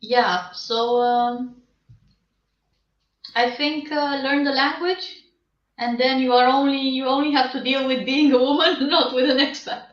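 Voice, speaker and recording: Female guest, Romanian-born, candid, a bit high-pitched, heard from far off on a call. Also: fairly even intonation, unhurried. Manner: laughs often, candid and self-deprecating. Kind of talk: casual interview answers. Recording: distant, roomy, dull video call audio.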